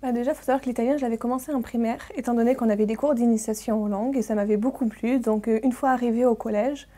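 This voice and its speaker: A woman in her twenties, a master's student, explaining her studies quickly as an interview guest in a studio. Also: a little high, fairly even intonation, warm tone. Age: 20s. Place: TV studio set.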